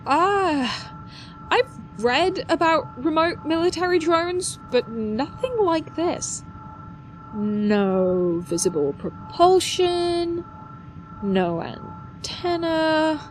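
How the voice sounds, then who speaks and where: A woman acts out a nerdy, curious teen tinkerer for an audio drama. The voice is high and swings around a lot, yet the pace is slow and halting. There is slight noise in the background. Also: very animated, full character voice, low-quality recording. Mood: curious, awed. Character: nerdy, snarky, curious teenage amateur inventor.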